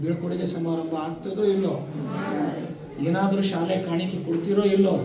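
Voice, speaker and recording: A man with a North Karnataka Kannada accent gives an emphatic motivational speech to students in short, deliberate phrases. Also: a little high. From Karnataka, India. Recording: echoey hall, distant microphone, audience noise.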